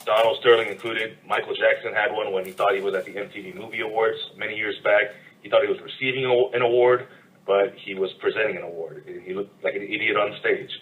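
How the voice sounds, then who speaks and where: A man co-hosting a podcast, talking casually and setting out his opinions in a measured way. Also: fairly low pitch, fairly even intonation, a bit bright, distant mic, low-quality recording. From United States. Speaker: podcast co-host. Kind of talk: casual chat.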